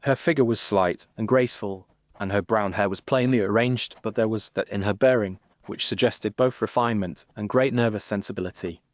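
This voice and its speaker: Low, measured Victorian gentleman narrator, voiced by a man. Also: no room sound, studio quality, close to an everyday voice. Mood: measured, reflective. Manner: formal, literary, measured. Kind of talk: scripted first-person story narration.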